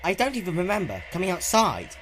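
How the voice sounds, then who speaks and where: A man's voice: sits high, wildly swooping pitch, fast talker, a bit bright, slight background noise, voice pushed up, heavily acted. A man voicing a frightened but brave young dragon in a dramatic fan-fiction reading. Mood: confused.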